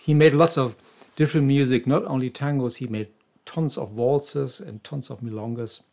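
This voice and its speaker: A man co-hosting a podcast, his voice sitting at an ordinary middle pitch. He explains things casually and knowledgeably, often restarting his phrases. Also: fairly even intonation, normal speed, gravelly, a little mellow. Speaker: podcast co-host.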